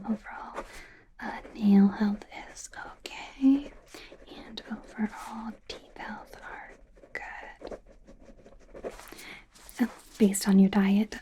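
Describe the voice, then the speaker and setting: A woman playing an eager, friendly, slightly awkward female werewolf doctor in an ASMR medical exam roleplay, speaking in a soft breathy whisper with a hesitant, rambling manner. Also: mid-range voice, a little hiss or hum, natural voice. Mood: flustered, then clinical, gentle.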